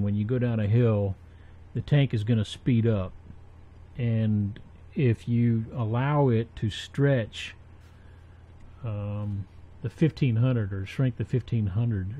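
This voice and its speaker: A man presenting a casual, unscripted software tutorial, haltingly thinking aloud as he works. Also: lowish voice. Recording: dull, muffled home recording with faint noise.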